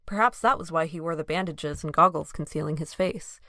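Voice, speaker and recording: A woman narrates an audio drama from a script. Her voice sits a little low, and the sound is close and dry, without room echo. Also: brisk, pushed and bright.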